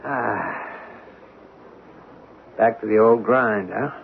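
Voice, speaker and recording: An actor playing a proud, weary working-class husband with a blunt, grumbling manner in a 1970s radio drama. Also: male voice, fairly low pitch, very slow, creaky, heavily acted. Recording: phone-like, noisy 1970s radio broadcast recording.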